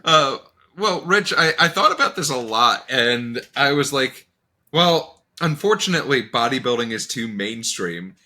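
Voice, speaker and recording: A man hosting a casual, comedic podcast in an animated, informal and sometimes profane way. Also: medium pitch, measured pace. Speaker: podcast host.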